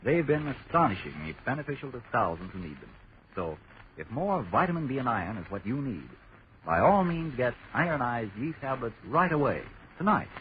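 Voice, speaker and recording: A man's voice: mid-range voice, very animated, fairly quick. An American man delivering emphatic, reassuring 1940s radio advertising patter as an announcer. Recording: tinny noisy old radio broadcast.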